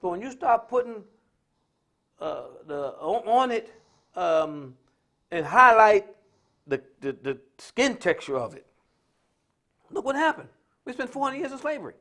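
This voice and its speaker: High-voiced, emphatic American man preaching off the cuff. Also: sing-song, unhurried, pauses often, bright, forceful tone. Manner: emphatic, repetitive, colloquial storytelling. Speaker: speaker on a religious talk live stream. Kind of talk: impromptu preaching-style social commentary.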